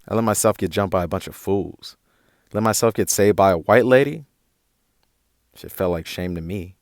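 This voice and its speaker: A man's voice: low voice, normal speed, no echo, clean studio sound. A man playing a hurt, defiant younger brother in a naturalistic audio drama, with an American accent and brooding, slangy speech. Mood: bitter, resigned.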